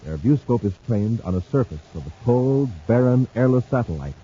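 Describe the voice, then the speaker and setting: A man's voice: medium pitch, expressive, normal speed, dark tone. A man working as announcer and narrator, reading a script in vintage radio style. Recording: muffled vintage radio recording, faint noise.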